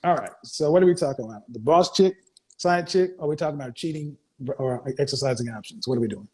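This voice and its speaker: A man's voice: on the high side, quick pace, mellow, husky. A man hosting a call-in advice show, questioning a caller bluntly and cutting in often. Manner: blunt, interrupting, interrogating. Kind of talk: call-in interview.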